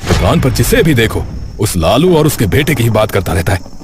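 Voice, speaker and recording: A man playing a jealous, scheming village shopkeeper who grumbles resentfully in an animated story. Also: lowish voice, a little fast. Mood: bitter, grumbling. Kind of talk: Hindi animated moral story voice acting. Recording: music behind the voice.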